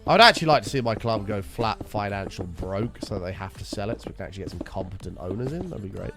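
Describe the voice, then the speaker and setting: A man streaming a game, chatting casually and wryly with his viewers while his voice is hoarse and sore. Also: fairly low pitch, lively intonation, fast, over music. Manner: bantering, wry, teasing chat members.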